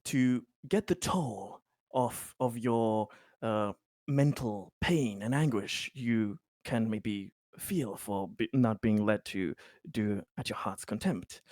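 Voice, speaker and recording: Man playing a halting, slow-paced bureaucratic official. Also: fairly low pitch, darkish tone, no room sound, clean studio sound. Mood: officious, firm. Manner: halting, officious, long pauses mid-sentence. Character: stammering bureaucratic official handing down sanctions, placating yet firm. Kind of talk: improvised tabletop roleplay.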